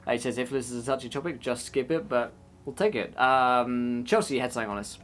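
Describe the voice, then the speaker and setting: A man, the game master, chatting casually and giving roleplay tips, with a relaxed, rambling delivery. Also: medium pitch, fast talker, faint music behind. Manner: relaxed, rambling explanations with many fillers.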